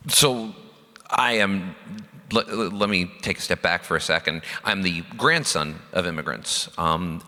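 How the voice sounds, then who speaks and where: A man state representative answering on a panel in an animated, impassioned way. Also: on the low side. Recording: reverberant hall.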